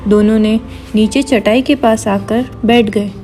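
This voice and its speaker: A woman's voice: on the high side, fairly even intonation, measured pace, mellow. A woman reading scripted narration for a children's moral story. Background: background music.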